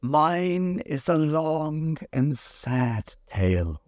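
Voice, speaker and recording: A man voicing a touchy, melancholy mouse with a severe, sharp manner in a storybook reading. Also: on the high side, very animated, very slow, no room sound, studio quality, pitched above the performer's own voice, heavily acted. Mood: mournful. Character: touchy, easily offended, melancholy male mouse.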